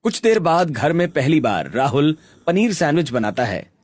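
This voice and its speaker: A man reads a scripted cartoon story as narrator. His pitch is a little high and moves about in a lively way. Music runs underneath. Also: moderate pace.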